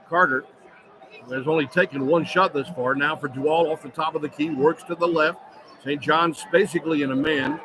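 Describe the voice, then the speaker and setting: A man calling live basketball play-by-play on radio as an announcer, getting emphatic and excited on big plays. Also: fairly high pitch. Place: courtside in a large indoor arena. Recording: noisy arena broadcast with crowd. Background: crowd and loud arena noise.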